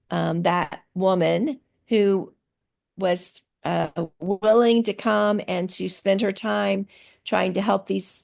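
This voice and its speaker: A middle-aged woman answering interview questions about teaching on a video call, speaking thoughtfully with many pauses and fillers. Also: mid-range voice, vocal fry, a little mellow. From grew up in Thailand.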